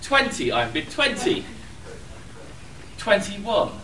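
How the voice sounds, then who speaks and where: Lively male auctioneer, high animated voice, echoey function room from afar. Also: slow, a crowd in the background. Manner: lively, self-mocking, rattles off bids. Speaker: charity auctioneer. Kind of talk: jokey auction patter. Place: hotel function room at a convention. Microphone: far room camera. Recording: echoey room, distant camera.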